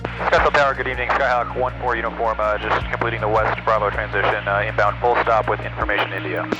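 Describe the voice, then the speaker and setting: A man's voice: on the low side, flat delivery, fast talker. An American man from Kansas working as a private pilot, chatting casually and making radio calls over a headset. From Kansas, United States. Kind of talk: casual chat and radio calls while flying. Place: small plane cockpit in flight. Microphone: aviation headset. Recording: muffled aviation headset intercom, loud music behind.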